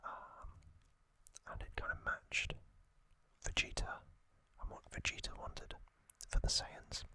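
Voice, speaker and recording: Male ASMR host: slow, even whisper, very bright, deliberate short phrases. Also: dry recording. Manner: hushed, deliberate, short phrases with long gaps. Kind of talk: whispered ASMR trivia recap.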